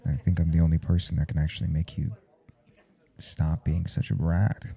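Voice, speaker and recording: A smitten male professor in an intimate ASMR roleplay. His voice is low and very dark, with a gravelly creak. He talks haltingly and coyly, stammering with nerves. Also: level tone, heavily acted. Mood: tender confessing. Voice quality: husky, dark low murmur. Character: nervous, smitten male professor confessing forbidden attraction. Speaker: audio roleplay performer.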